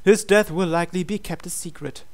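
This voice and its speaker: A man reading visual novel text aloud as a measured, dramatic storyteller and host. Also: high-pitched, a little hiss or hum.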